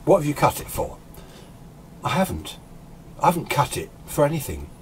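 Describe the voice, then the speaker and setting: A man's voice: on the high side, big pitch swings, moderate pace. A British man in his sixties giving an informal, unscripted explanation in a conversational, emphatic way, often asking rhetorical questions. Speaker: amateur radio enthusiast presenting a tutorial. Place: small indoor radio workshop full of equipment. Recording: clear background room noise.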